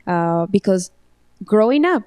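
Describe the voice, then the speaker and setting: A woman's voice: medium pitch, expressive, unhurried, darkish tone, clean tone, no echo. A woman who grew up in Mexico, speaking English with a Mexican Spanish accent as a guest in a casual panel talk, hesitant with many ums and restarts.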